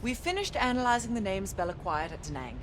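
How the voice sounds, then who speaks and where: An actress playing a composed intelligence officer in a spy game, giving measured, formal, precise briefings. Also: female voice, mid-range voice, very animated, a little hiss or hum, natural voice. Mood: composed, businesslike.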